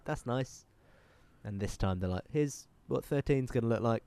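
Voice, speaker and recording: A man's voice: medium pitch, creaky, dark tone, clear, resonant tone, no room sound. A man co-hosting a casual podcast, rambling and swearing, with a bunged-up nose. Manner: rambling, sweary, quick to laugh. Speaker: podcast co-host. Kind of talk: casual chat.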